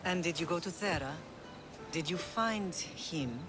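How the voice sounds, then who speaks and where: A woman acting a caring, steady middle-aged mother in a video game, with a tender, reassuring manner. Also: on the low side, measured pace, music in the background. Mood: concerned.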